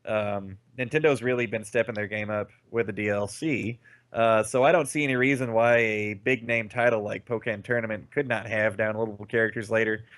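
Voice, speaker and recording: A man's voice: fairly low pitch, steady tone, fairly quick, fairly bright tone, no echo. A man with a stuffy nose rambling casually about games on a podcast, full of fillers and restarts. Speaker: podcast co-host. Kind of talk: casual gaming chat.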